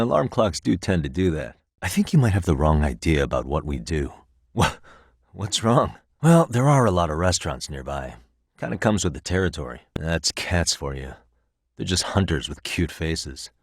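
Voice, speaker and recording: Laid back american detective from a video game, deep voice, wry and casual, really dry studio sound. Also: male voice, dry recording. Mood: curious, relaxed. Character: easygoing, wry adult male private detective. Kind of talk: video game voice acting, conversational dialogue.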